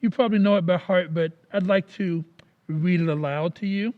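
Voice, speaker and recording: A man playing a pompous, self-important school principal in old-time radio comedy style, with formal, deliberate diction. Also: sits high, narrow, tinny audio, close to an everyday voice. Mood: pompous, pleased.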